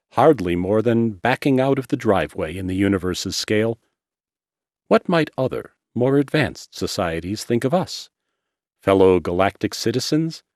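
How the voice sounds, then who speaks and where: A man giving a measured, pondering scripted narration with dramatic pauses and an ominous edge. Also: lowish voice, darkish tone, no echo, studio quality.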